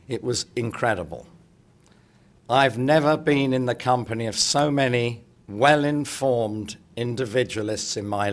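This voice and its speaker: A middle-aged man with a croaky, hoarse voice opening a conference in a hotel meeting room, chatty and joking with the audience. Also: medium pitch, fairly bright tone. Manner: chatty, jokey, addresses the room directly. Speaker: speaker opening a political congress.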